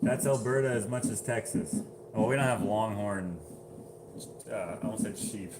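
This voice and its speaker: A man's voice: on the low side, normal speed, background noise. A man casually chatting on a livestream, reading viewer comments aloud and laughing often. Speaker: livestream host.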